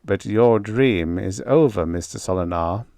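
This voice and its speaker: A man voicing a logical Martian engineer in an audiobook story, speaking in a dry, deliberate way. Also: lowish voice, dark tone, no room sound. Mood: dry, questioning.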